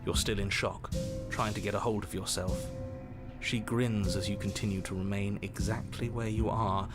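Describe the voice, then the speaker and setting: An actor playing a wry, melodramatic narrator and bickering inner voices in a scripted game, theatrical and emphatic. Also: male voice, on the low side, steady tone, normal speed, lightly acted. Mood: grave narration. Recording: loud music behind the voice. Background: loud game music.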